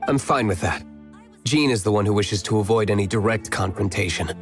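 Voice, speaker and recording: A man's voice: on the low side, fairly quick, natural voice. A man playing a cool, terse, confident young nobleman swordsman in a video game. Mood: cool, resolute. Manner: laconic, cool. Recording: music under the voice.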